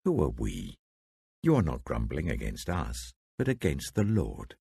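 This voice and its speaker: A man's voice: a bass voice, wildly swooping pitch, unhurried, vocal fry, dark tone, breathy edge, no echo, clean studio sound, voice pushed down, exaggerated voice. A British man voicing a stern prophet rebuking his people in a scripture reading, firm and declamatory. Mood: stern, emphatic.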